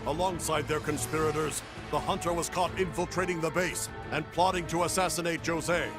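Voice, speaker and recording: Guy playing strict righteous officer in a game, kinda high voice, formal n condemning, music behind. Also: fairly even intonation, moderate pace, close to an everyday voice. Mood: stern, condemning. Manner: formal, declamatory. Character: stern, self-righteous accuser, an officer condemning a prisoner. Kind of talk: video game cutscene acting.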